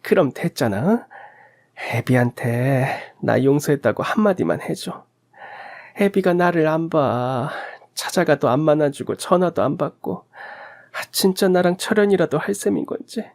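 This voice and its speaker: Man acting a whining, pleading old mother-in-law, pitched up. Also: expressive, a little mellow. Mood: desperate wheedling. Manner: whining, halting, wheedling. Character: old mother-in-law, self-pitying, pleading, selfish, clinging to son.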